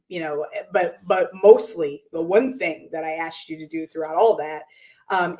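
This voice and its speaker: A woman hosting her weekly show, hoarse and raspy from losing her voice, talking upbeat with lots of ums and restarts in a room by a window. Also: fairly low pitch, darkish tone. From United States. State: hoarse from lost voice. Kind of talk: casual talk to camera.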